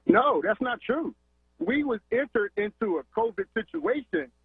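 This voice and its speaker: A man's voice: very high-pitched, animated, normal speed, breathy edge, no echo. A man from Philadelphia calling into a radio talk show, impassioned, repeating words and firing off rhetorical questions. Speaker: caller. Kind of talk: call-in radio rant. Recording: tinny phone line.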